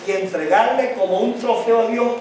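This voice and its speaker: A man's voice: very high-pitched, normal speed, a little mellow. A male pastor preaching a sermon, often asking the congregation questions for them to answer, with a slight tongue-tie that tangles his words. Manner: call-and-response questions to the congregation. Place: church hall. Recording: very echoey hall, mic at a distance.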